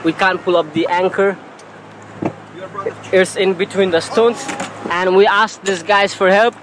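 A man's voice: sits high, steady tone, unhurried, crowd noise, low-quality recording. Young man vlogging from a boat. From Sweden. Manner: easygoing, talks straight to the camera. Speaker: travel vlogger. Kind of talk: casual vlog narration. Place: small open boat at sea, outdoors. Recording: windy outdoor boat audio.

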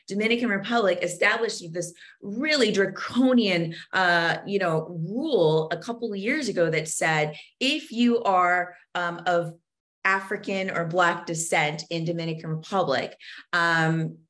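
A middle-aged woman, speaking deliberately and earnestly as an interview guest explaining things on a video call. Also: on the low side, a bit bright. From born in Zambia, moved to the United States at age four. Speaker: guest author and co-host. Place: home office with bookshelves, indoors.

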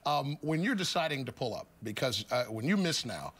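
A middle-aged man hosting a postgame TV studio interview, joking and animated as he asks questions. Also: medium pitch, fairly quick, slightly rough. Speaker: studio host. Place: TV studio set.

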